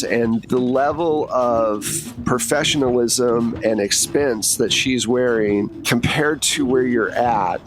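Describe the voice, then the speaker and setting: A man's voice: mid-range voice, background noise. A man narrating a tabletop roleplaying game as game master in a casual, conversational, improvised way.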